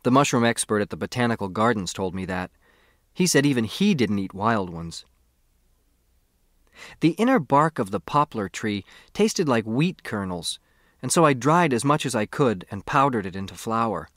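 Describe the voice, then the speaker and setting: An American man narrates an audiobook novel in the first person. His voice is medium in pitch, with an expressive, boyish storytelling manner. The recording is very dry, with no room sound. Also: moderate pace, no room sound.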